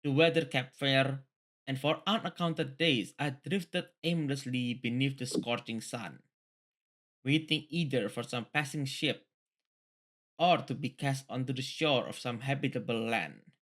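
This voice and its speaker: Male, very bright forceful voice, read-along host, casual, repetitive. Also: mid-range voice, a little slow. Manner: rambling, repeats phrases. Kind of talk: book reading mixed with casual commentary.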